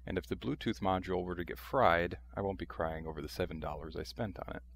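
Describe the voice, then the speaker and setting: Low, gravelly man with background noise. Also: quick pace. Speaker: video host showing a restored vintage radio. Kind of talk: how-to demonstration narration.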